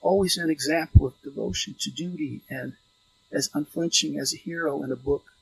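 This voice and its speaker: A man reading literary fiction as an audiobook narrator, delivering it in measured phrases. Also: fairly high pitch, vocal fry, poor audio.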